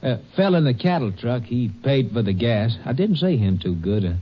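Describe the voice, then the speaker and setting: A man's voice: medium pitch, very animated, moderate pace, a little hiss or hum, lightly acted. A plain-spoken long-haul trucker from an old radio drama, played by a man, telling his story in a folksy, rambling drawl. His voice sits in a middle range. The sound is muffled, like a vintage broadcast. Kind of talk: 1950s radio drama acting.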